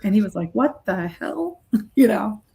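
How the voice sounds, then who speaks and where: A woman's voice: mid-range voice, big pitch swings, measured pace, creaky, mellow. A middle-aged woman talking casually as an interview guest, candid and emphatic in a conversational way. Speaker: guest, rock guitarist and recording artist.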